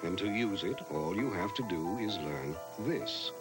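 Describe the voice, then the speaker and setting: A man's voice: low-pitched, animated, measured pace, loud music behind the voice. An American man reading scripted narration for a television commercial.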